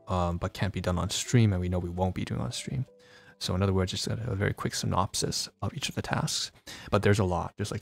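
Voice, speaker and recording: A man's voice: low voice, gravelly. A man streaming a casual vlog, rambling with lots of fillers and restarts, sounding congested. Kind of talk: casual livestream vlog talk. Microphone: phone.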